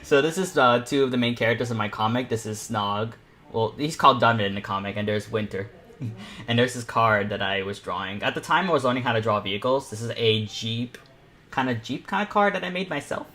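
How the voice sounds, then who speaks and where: A young man hosting a live online art panel, chatting casually and excitably to viewers as he paints. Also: mid-range voice, fairly quick. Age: teens or young adult. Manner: informal, excitable, giggly.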